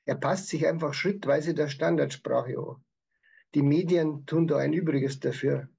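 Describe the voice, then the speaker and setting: A man's voice: a little high, unhurried, gravelly, mellow, slightly rough. A man with a Bavarian accent, explaining things in prepared answers as an author being interviewed. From Bavaria, Germany. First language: Bavarian dialect.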